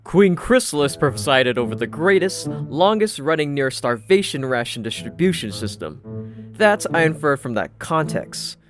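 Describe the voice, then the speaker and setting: Animated, sardonic male story narrator over music. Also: fairly high pitch, moderate pace. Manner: dry, sardonic. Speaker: audiobook narrator. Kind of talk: first-person scripted story narration.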